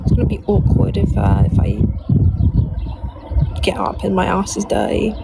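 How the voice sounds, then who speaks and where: A woman's voice: mid-range voice, very animated, moderate pace, creaky. A young woman from Poland, a native Polish speaker, chatting casually and informally with viewers as she livestreams outdoors. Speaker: live streamer. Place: outdoors by a lake near a road. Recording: loud outdoor ambient traffic noise. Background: loud ambience, passing vehicles.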